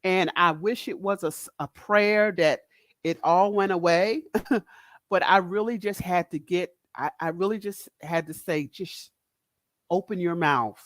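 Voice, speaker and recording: Middle-aged Northeastern woman, guest speaker; deep, loud, blunt delivery; dry close mic. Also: unhurried, no room sound. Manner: direct, candid, laughs often. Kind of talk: casual podcast chat.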